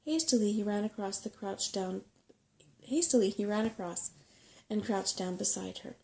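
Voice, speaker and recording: A woman narrating a bedtime story in a soft-spoken ASMR reading style. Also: mid-range voice, animated, normal speed, creaky.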